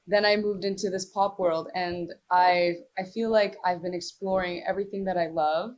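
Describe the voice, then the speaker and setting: A woman's voice: on the low side, a little mellow. A young woman from Morocco, talking loosely as an interview guest. She fills her answers with "like" and restarts, in an easy, chatty way. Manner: chatty, many "like" fillers and restarts. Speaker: singer-songwriter, guest.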